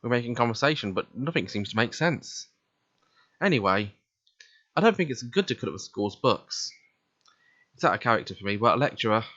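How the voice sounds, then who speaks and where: A man's voice: mid-range voice, very animated, a little fast, pauses often, a bit bright, no echo, dull audio. A man reading a visual novel aloud, narrating in the first person and voicing its dialogue.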